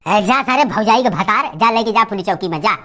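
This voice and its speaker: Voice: mid-range voice, expressive, a little fast, sharp tone. A performer voicing a cantankerous, lazy village uncle in an Awadhi comedy cartoon, scolding and rambling with stubborn, abusive bluster. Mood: defiant. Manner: blustering, scolding, rambling rants full of abuse.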